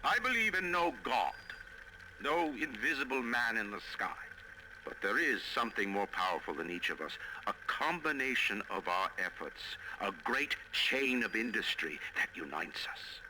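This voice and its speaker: Forceful, grandiose male orator. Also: mid-range voice, very animated, moderate pace, slight background noise, rough recording. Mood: fervent conviction. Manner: grandiose, emphatic. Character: proud, commanding male industrialist ideologue. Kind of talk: recorded political oration in a video game.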